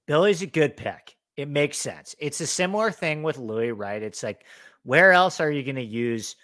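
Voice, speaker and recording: A man's voice: fairly high pitch, normal speed, no echo, studio quality. A man co-hosting a golf betting podcast, talking through his picks in casual chat. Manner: animated, talks through picks with fillers. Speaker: podcast co-host, golf analyst.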